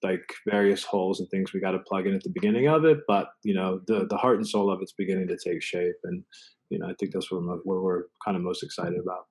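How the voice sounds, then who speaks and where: Low, husky man explaining casually. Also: fairly even intonation, fast, a little mellow, poor audio. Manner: rambling, peppered with "you know" and "right". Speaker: product designer, interview guest.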